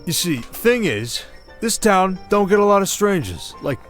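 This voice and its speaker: An actor playing a pushy, smooth-talking cowboy barfly with an American Western drawl, leering and folksy, in an ASMR roleplay. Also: male voice, high-pitched, big pitch swings, unhurried, fairly bright tone, soft background music, exaggerated voice. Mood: flirtatious. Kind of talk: ASMR roleplay acting, Old West.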